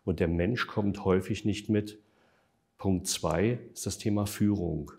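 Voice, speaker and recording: A man answering interview questions in a measured, factual way, with frequent hesitation fillers. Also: low-pitched, a little mellow, slightly rough. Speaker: interview guest, developer of an online tool.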